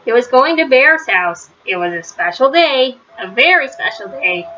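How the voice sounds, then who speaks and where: A woman's voice: fairly high pitch, sing-song, moderate pace, sharp tone. A woman reading a children's story aloud as a storyteller. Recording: slightly dull, faintly noisy recording.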